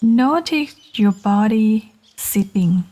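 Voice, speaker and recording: A woman guiding a meditation in a gentle, soothing manner, speaking in short phrases with long, unhurried pauses. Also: mid-range voice, animated. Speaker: meditation guide. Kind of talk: guided meditation narration.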